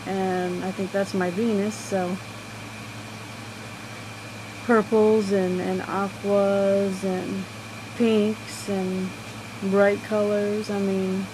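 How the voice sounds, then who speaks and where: A woman with a quiet voice talking casually about astrology, rambling and halting with many fillers. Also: mid-range voice, level tone, noisy background. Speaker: astrology vlogger. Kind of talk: casual personal talk.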